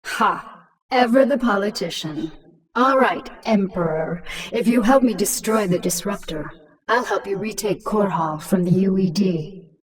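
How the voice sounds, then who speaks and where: A woman's voice: medium pitch, measured pace, recorded from a distance, close to an everyday voice, low-quality recording. An actress playing a cunning, commanding infested alien queen in a video game, sardonic and self-assured. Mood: taunting.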